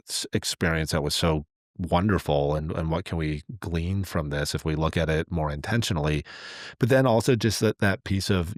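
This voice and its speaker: A man's voice: sits low, no echo, studio quality. A man co-hosting a podcast in casual, reflective chat, earnest and often repeating his words as he goes. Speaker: podcast co-host.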